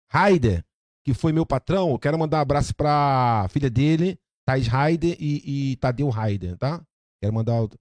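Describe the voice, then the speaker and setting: Animated Brazilian man telling a story. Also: dry recording, studio quality. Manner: lively, repeats words, acts out quoted dialogue with big pitch jumps. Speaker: podcast guest, barber. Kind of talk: animated storytelling in casual chat.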